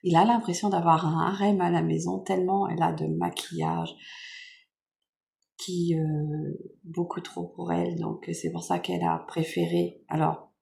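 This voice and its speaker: A French woman with a cold, hoarse and nasal, chatting softly and rambling with many fillers as she unboxes gifts at home. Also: fairly low pitch, flat delivery, unhurried. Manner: soft-spoken, meandering with many fillers. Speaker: vlogger. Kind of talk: casual chat unboxing makeup gifts.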